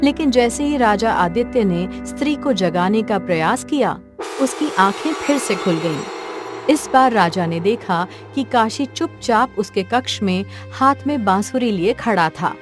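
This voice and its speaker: A woman's voice: medium pitch, lively intonation, a little slow, over music. Woman narrating a storybook tale. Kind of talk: scripted cartoon story narration.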